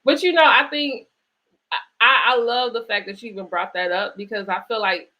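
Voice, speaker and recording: A woman hosting a conversational interview, speaking reflectively in halting phrases and often checking in with "right?" or "you know". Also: fairly high pitch, sharp tone, dull audio. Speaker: host interviewing an author. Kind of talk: conversational interview at a virtual book event.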